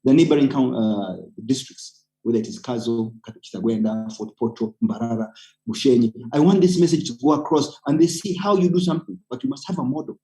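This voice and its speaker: A man's voice: mid-range voice, fairly quick, dark tone, rough recording. A man with a Ugandan accent speaking as a webinar panelist, earnest and persuasive, urging people on with emphatic points. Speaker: panelist, community organizer. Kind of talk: webinar talk, personal account with exhortation.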